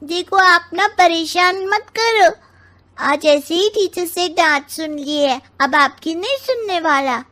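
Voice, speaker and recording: A woman voicing a sulky, cheeky little schoolboy who pouts and talks back in an animated family story. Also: very high voice, slow, exaggerated voice. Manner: pouty, talking back.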